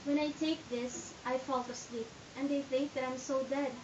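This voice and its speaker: A woman's voice: high-pitched, steady tone, moderate pace, background noise, lightly acted. A woman playing a desperate, lovesick teenage girl who pleads and frets, in an amateur stage reading. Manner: pleading, anxious. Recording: echoey room, mic far off, dull sound.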